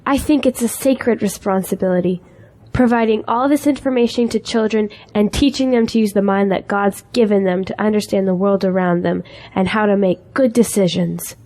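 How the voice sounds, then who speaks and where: A woman's voice: medium pitch, moderate pace, a little mellow, natural voice. A woman playing an eager, idealistic young schoolteacher in an audio drama, with an earnest, polite way of talking.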